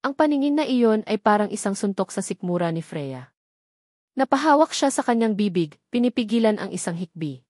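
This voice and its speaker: Female narrator for dramatic fiction; mid-range voice, measured and weighty delivery, dry studio-like sound. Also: mid-range voice, expressive, a little mellow, no room sound. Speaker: story narrator.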